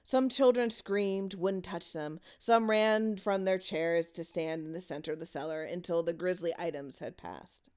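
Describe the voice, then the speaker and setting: A woman's voice: fairly low pitch, unhurried, few pauses, darkish tone, smooth. A woman narrating a horror short story in a dramatic reading style.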